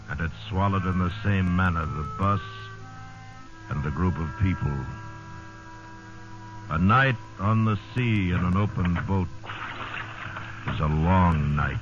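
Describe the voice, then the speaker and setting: An actor playing a grave literary storyteller, narrating a sea ordeal in 1950s radio drama style. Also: male voice, sits low. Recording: muffled noisy old radio recording.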